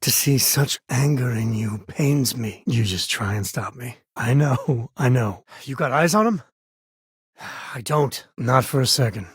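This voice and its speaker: An actor voicing a wry, easygoing space ranger and father in a video game, with a husky, raspy voice and a folksy drawl. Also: mid-range voice, a little slow, dry recording. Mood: warm, sincere, then stern, bitter. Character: laid-back frontier space ranger, doting father, wry and plainspoken. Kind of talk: video game character dialogue.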